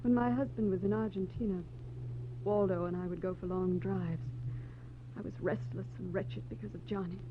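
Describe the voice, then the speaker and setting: A woman's voice: medium pitch, steady tone, normal speed, natural voice. An actress playing a wistful, secretive married woman in a 1940s radio detective drama, speaking earnestly and a little hesitantly. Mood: wistful confession. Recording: tinny, noisy, humming 1940s radio recording.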